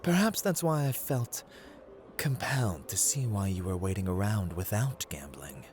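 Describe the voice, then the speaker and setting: A man playing a playful, taunting god of luck who deals cards, in a theatrical ASMR roleplay with deliberate speech and hushed murmurs. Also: fairly low pitch, expressive, noisy background, natural voice. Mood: coolly teasing. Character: playful, taunting male god of luck, smooth-talking card dealer.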